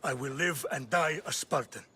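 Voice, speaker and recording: A proud Spartan father and soldier acted by a man, stern and burdened by duty. He speaks with grave, formal command and a tense, bright edge, in video game drama style. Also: medium pitch, normal speed, slight background noise, lightly acted. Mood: defiant, forceful.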